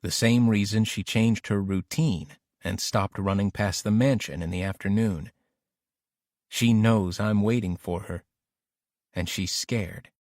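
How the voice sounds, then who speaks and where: A male audiobook narrator with a fairly low voice reads a suspense story in the first person. The sound is very dry, with no room on it. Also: fairly even intonation, unhurried, a little mellow, dry recording.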